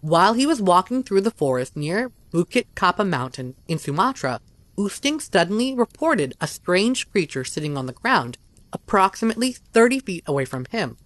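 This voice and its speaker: Lively, dry-voiced scripted narrator. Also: mid-range voice, normal speed, dry recording.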